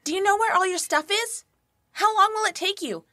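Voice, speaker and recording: Lady doing a hyped up impatient little kid in a story, really high voice, dry sound. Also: pushed and bright, dry recording. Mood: eager, impatient. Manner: rapid pestering questions. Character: eager, impatient excited little boy.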